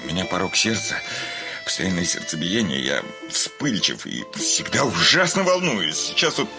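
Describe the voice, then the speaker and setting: Nervous, stammering low-voiced bachelor suitor. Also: male voice, big pitch swings, moderate pace, creaky, music in the background, full character voice. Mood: anxious, fretting. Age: 30s. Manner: stammering, hesitant, over-polite. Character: nervous, fretful thirty-five-year-old bachelor suitor, hypochondriac. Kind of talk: theatrical radio play acting.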